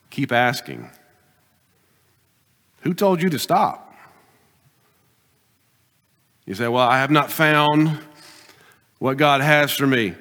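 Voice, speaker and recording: A man preaching a sermon as pastor, pressing his points with rising bursts and then dropping to quiet, deliberate asides. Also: medium pitch. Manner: emphatic preaching, swings between loud exhortation and quiet asides. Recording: long hall reverb.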